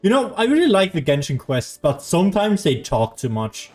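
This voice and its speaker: A woman's voice: fairly low pitch, sing-song, normal speed, a little mellow. A woman giving casual, offhand gameplay commentary with a wry, impatient manner. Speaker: gaming streamer. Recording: faint game music behind.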